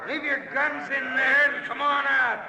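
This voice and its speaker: High, shouting lynch-mob leader. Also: male voice, little pitch movement, moderate pace. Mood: menacing. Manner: shouting, threatening. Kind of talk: 1950s radio western acting. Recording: echoey, noisy old radio, crowd behind.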